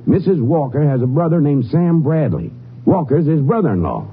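An actor playing a brisk, keen county sheriff in a 1950s radio western, plainspoken, eager and folksy. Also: male voice, on the high side, sing-song, gravelly, loud music behind the voice. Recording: tinny old radio recording.

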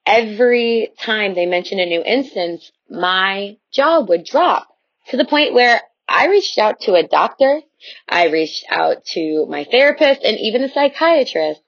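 A woman's voice: medium pitch, lively intonation, a little slow, dry recording. An American woman in her twenties leaving an earnest, appreciative voice message as a listener. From United States. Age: 20s. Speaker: listener leaving a voice memo, teacher. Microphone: recorded voice memo. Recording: dull, lo-fi voice memo.

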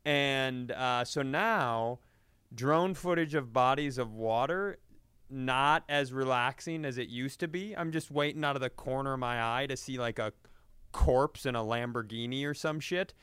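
A man's voice: medium pitch, a little slow, a bit bright, clear, resonant tone, dry recording, studio quality. A man co-hosting a comedy podcast, telling anecdotes in a casual, rambling way with some swearing. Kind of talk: casual comedic storytelling chat.

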